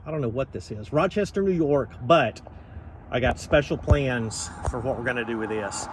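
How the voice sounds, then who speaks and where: A man's voice: mid-range voice, normal speed. A man vlogging casually off the cuff in a folksy, rambling, slangy way. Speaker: host of a garage and farm vlog. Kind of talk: casual vlog chat, off the cuff. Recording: clearly noisy ambient background.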